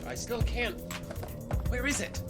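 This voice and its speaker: An actress playing a guarded, anxious young person in an audio drama, speaking in an earnest, urgent manner. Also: female voice, on the high side, huge pitch swings, a little slow, heavily acted. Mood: flustered. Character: guarded, anxious young person who knows dark secrets. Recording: dramatic music under the dialogue.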